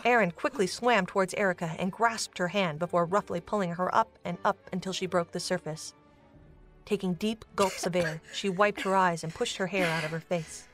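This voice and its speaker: A woman's voice: fairly low pitch, steady tone, normal speed, a bit bright. A woman narrating a scripted dramatic story as the narrator of an audio drama. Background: music.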